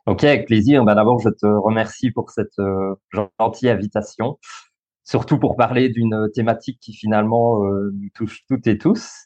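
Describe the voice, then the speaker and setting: A man's voice: fairly low pitch, fairly even intonation, moderate pace, warm tone, dull audio. A man of thirty with a Belgian French accent, explaining as a guest on a podcast interview, with many fillers and restarts. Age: 30s. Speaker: guest, school coach and social worker.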